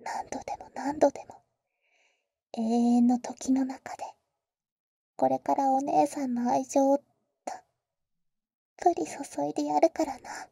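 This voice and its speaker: A woman voicing a doting, teasing older-sister vampire in soft, breathy whispers for intimate roleplay ASMR, close to the mic. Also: high-pitched, steady tone, no echo. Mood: devoted. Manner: slow, tender, teasing, boyish casual phrasing. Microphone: close, dry ASMR microphone.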